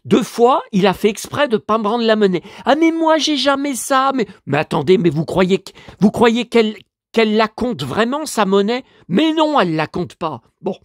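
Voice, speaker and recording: Man vlogger with a cold, rambling and exasperated, very lively intonation, dry close sound. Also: high-pitched, normal speed, no room sound. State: stuffy head cold. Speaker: vlogger and collector. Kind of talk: casual monologue vlog.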